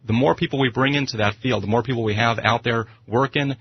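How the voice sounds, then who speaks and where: A man's voice: medium pitch, fairly even intonation, quick pace, lo-fi sound, a little hiss or hum. An American man arguing politics as a guest on talk radio, speaking emphatically and repeating phrases to press his point. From United States.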